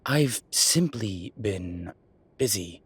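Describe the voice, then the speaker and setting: A man playing an exasperated, evasive demon professor in an audio roleplay, speaking haltingly and defensively with muttered asides. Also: on the low side, expressive, a bit bright, husky, no room sound, full character voice. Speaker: voice actor. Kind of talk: audio roleplay acting, one-sided dialogue.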